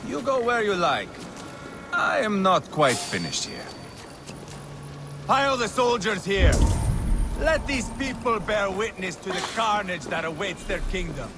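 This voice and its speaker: An actor playing a ruthless, mocking Viking warrior with a sardonic, taunting manner in a video game. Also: male voice, high-pitched, very animated, unhurried, exaggerated voice. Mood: gloating. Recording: noisy outdoor ambience behind.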